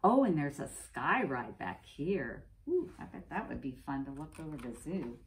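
An American woman, a middle-aged preschool teacher, reading a picture book aloud to young children and pausing to explain things simply. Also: sits low, big pitch swings. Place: indoors, classroom.

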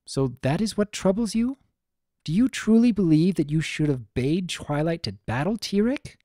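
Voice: mid-range voice, lively intonation, moderate pace, dark tone, no room sound, clean studio sound, voice pushed up, natural voice. A narrator voicing a regal, intense female pony princess with a formal, archaic, questioning manner. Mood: incredulous.

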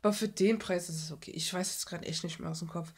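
A woman's voice: lowish voice, steady tone, fast talker, slightly rough. A young woman rambling casually and thinking aloud in an offhand way, as an art vlogger chatting while she works at a desk at home. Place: small cluttered room at home. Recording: noisy home recording with clicks.

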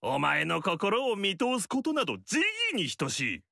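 A man's voice: a little high, very animated, normal speed, vocal fry, sharp tone, dry recording, clean studio sound, exaggerated voice. An actor playing a pompous, haughty middle-aged noble lord who lectures a young girl in a game. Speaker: game character. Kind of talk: game story dialogue.

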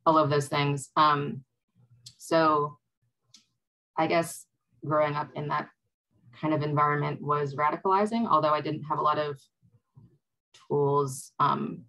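A young woman from Wisconsin speaking on a video call panel, reflective and hesitant with many pauses. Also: low voice, a bit bright, lo-fi sound. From Wisconsin, USA. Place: home room.

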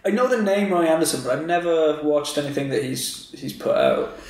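A man's voice: fairly high pitch, animated, fairly quick, a little mellow. A man hosting a podcast interview in a casual, chatty way. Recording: very echoey room.

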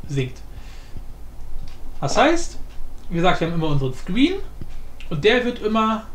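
A man with a notably high voice talks through a tutorial in an informal, think-aloud way. He sounds like he is in a reverberant room, with some light noise behind him. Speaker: tutorial host. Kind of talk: casual screen-recorded tutorial commentary. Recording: roomy echo with background noise.

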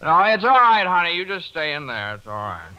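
An actor playing a brash, folksy small-town dentist with a grudge in a 1940s radio drama. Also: male voice, a little high, sing-song, a little fast, clear, resonant tone, muffled recording. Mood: tipsy, genial. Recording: old 1940s radio broadcast.

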